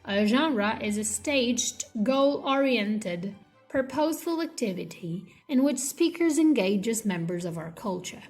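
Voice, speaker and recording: A woman reading an academic textbook aloud as scripted narration, with measured, deliberate phrasing in short segments. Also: fairly high pitch, animated, darkish tone, soft background music.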